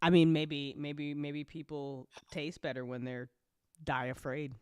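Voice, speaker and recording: Female, lowish voice, casual and playful chat delivery, very dry sound. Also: level tone, unhurried, a little mellow, clear, resonant tone, dry recording. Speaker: audio drama after-show participant.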